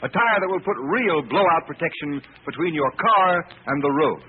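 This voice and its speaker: A man's voice: a little high, expressive, moderate pace. A man announcing a scripted 1930s radio advertisement with an emphatic, earnest sales delivery. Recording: tinny, faintly noisy old radio recording.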